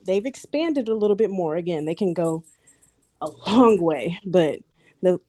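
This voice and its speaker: A woman from Houston with a soft voice, talking casually in a group voice chat. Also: medium pitch, dry recording. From Houston, Texas.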